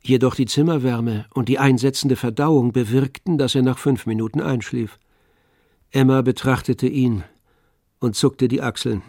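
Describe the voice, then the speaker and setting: A man narrating a literary radio play in a measured, composed storytelling manner. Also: mid-range voice, a little mellow, no echo, clean studio sound.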